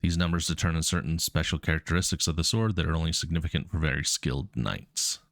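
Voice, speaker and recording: Deep-voiced man with a stuffy cold. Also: fast, gravelly, no echo, clean studio sound. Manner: casual, relaxed, many ums. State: stuffy with a cold, cough drop in mouth. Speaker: coding streamer. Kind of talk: reading puzzle text aloud and thinking out loud.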